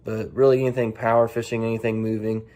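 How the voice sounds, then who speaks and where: A man speaking casually and haltingly as an angler answering a set of profile questions, restarting phrases as he goes. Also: on the low side, flat delivery, warm tone.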